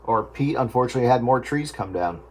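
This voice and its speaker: A man's voice: medium pitch, lively intonation, quick pace. A man chatting casually as an amateur radio operator over the air. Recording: radio transmission, heavy static and clicks.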